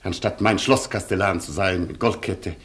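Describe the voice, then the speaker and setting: An actor playing a proud, gallant Polish aristocrat colonel in a German radio play, with a Polish accent and an imperious, grandiloquent way of talking. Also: male voice, low-pitched, normal speed, fairly bright tone. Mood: lofty, commanding. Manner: grandiloquent, imperious, broken formal syntax.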